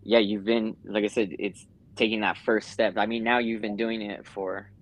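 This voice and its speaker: A man's voice: on the low side, little pitch movement, normal speed, low-quality recording. A man from the United States hosting a podcast, asking rambling questions full of fillers like "like" and "you know". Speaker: podcast host. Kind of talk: casual interview chat.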